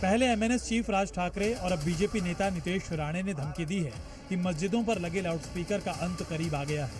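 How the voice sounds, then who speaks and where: High-pitched Indian male news reporter over music. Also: a little fast. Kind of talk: scripted news report narration. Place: voice-over with music bed behind.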